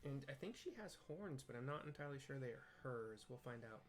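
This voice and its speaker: A man's voice: medium pitch, lively intonation, a little fast. A man hosting a casual video game playthrough, describing scenes in a rambling, hesitant way as he searches for words. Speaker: host narrating a game playthrough with audio descriptions. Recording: game music behind the voice.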